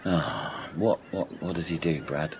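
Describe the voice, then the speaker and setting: A man's voice: very deep voice, measured pace. A man acting a world-weary, sweary bloke with dry wit in a comic radio play, slight noise behind him. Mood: deadpan, musing.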